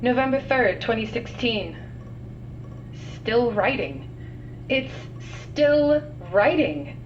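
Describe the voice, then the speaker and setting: A woman's voice: a little high, expressive, slow pace. A woman playing a weary, sardonic scientist recording containment logs in an audio drama, dry and matter-of-fact. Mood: wry, bemused. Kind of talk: audio drama log narration. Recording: muffled, distant, noisy log with hum.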